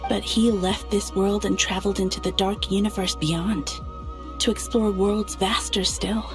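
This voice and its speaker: A woman playing a grave, stately moon goddess in a game cutscene, speaking calmly and deliberately in formal phrasing. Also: mid-range voice, lightly acted. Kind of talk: dramatic game cutscene acting. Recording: background music under the voice.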